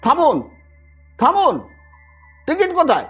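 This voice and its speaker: Man acting an indignant, high-pitched young ticket collector. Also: huge pitch swings, a little slow, soft background music, full character voice. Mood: urgent, demanding. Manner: loud, urgent calling out.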